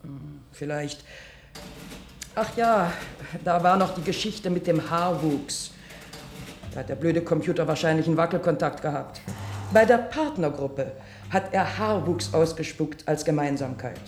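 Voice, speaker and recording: An actress playing an earnest, slightly flustered research assistant in a radio drama. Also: female voice, deep voice, unhurried. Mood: flustered, defensive. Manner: precise reporting, then hesitant and defensive. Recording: roomy radio play, faint background noise.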